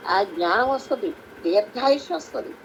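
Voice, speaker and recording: High-pitched Andhra Telugu woman preaching. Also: expressive, normal speed, creaky. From Andhra Pradesh, India. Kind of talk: sermon for a prayer meeting. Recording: faintly noisy conference call recording.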